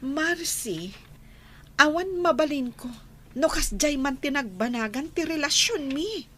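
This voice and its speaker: A woman's voice: high-pitched, expressive, a little slow, bright, forceful tone, full character voice. An actress playing a firm young college woman in a radio drama, speaking with a Filipino accent. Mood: defensive. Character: Emma, firm young college woman refusing an old love. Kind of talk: Ilocano radio drama acting.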